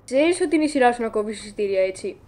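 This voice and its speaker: A woman voicing a nagging, urgent young character in an animated cartoon fandub. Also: a little high, natural voice.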